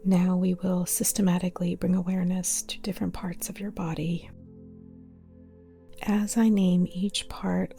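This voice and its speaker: Slow, breathy near-whispering woman meditation guide. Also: lowish voice. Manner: calm, soothing, spaced short phrases. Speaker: yoga nidra meditation guide. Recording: modest older mic, music behind.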